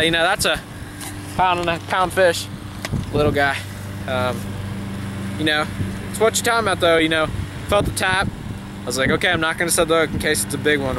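A young man chatting casually about fishing outdoors by a pond, rambling and enthusiastic, recorded on a camera mic. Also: fairly high pitch. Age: young adult. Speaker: fishing vlogger. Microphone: camera mic, handheld or nearby. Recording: loud wind and outdoor noise.

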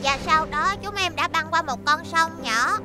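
Young cartoon kid, voiced by an actor: very high, polite, earnest and childlike, music behind. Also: moderate pace, natural voice. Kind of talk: animated children's cartoon dub.

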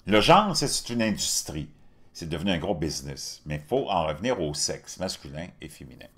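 A man's voice: a little fast, breathy edge. A man in his fifties with a Quebec French accent, hosting casual political commentary from a home studio, indignant and repeating words as he talks. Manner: animated, indignant, repeats words, frequent pitch jumps. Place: home studio with virtual backdrop.

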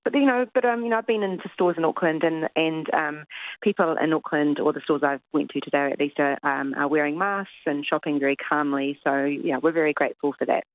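A woman's voice: low-pitched, brisk, dry recording. A woman from New Zealand speaking as a supermarket spokesperson in a live news interview, hesitant with many fillers and restarts. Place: remote line into studio. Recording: tinny phone line.

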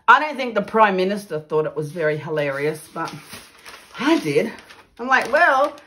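A woman's voice: mid-range voice, very animated, moderate pace, sharp tone. Chatty Australian woman in her studio. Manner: chatty, laughing, exclaims over her work. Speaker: mixed media artist. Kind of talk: casual craft demo chat. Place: home art studio, indoors.

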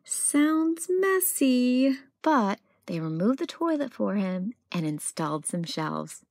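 Woman reading a kids picture book out loud, takes her time, really animated delivery. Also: lowish voice, unhurried, gravelly, no room sound, clean studio sound. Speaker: read-aloud storyteller. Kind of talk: expressive children's picture book narration.

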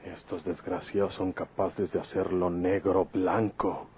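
A man's voice: low voice, little pitch movement, a little slow, darkish tone, a little hiss or hum. An actor playing a vengeful rustic Mexican bandit father in an old radio drama, scheming and defiant, muttering in country speech. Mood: watchful, bitter.

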